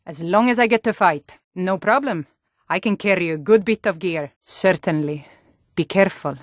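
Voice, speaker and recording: A woman's voice: lowish voice, normal speed, no room sound, studio quality, natural voice. An actress playing a tough, blunt Nordic warrior woman in a fantasy video game, speaking firmly with a Nordic accent. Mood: battle-ready. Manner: firm, blunt.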